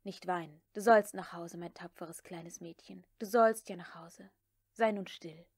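A woman voicing a cool, mocking gentleman who takes command, as part of an audiobook reading. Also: on the low side, steady tone, unhurried, dry recording, studio quality, voice pushed down. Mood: tender, soothing. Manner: sardonic, crisp, commanding. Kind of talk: dramatic audiobook character reading.

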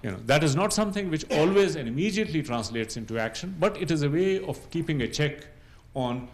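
A middle-aged man speaking unscripted on a panel, explaining in a measured way and often checking that listeners follow. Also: a little high, lively intonation. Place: university lecture room, seated at a panel table.

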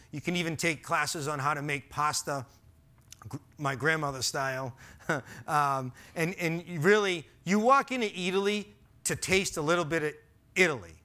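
A man's voice: on the high side, bright, forceful tone, smooth. A man in his forties with a Boston accent, giving an earnest, emphatic talk on a stage with a headset mic. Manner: earnest, emphatic, gestures while speaking. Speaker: conference speaker. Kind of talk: stage presentation. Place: large dark auditorium stage.

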